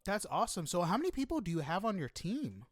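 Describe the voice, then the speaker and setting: A high-voiced man hosts an interview podcast. He talks casually and effusively, with plenty of exclamation. The sound is dry, with very little room on it. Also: wildly swooping pitch, fast, no echo.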